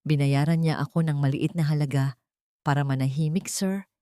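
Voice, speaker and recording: A woman's voice: deep voice, steady tone, normal speed, dark tone, clear, resonant tone, no room sound, studio quality, lightly acted. A woman voicing a frightened, guilt-ridden socialite in an audio drama, with a trembling, pleading delivery. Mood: tearful.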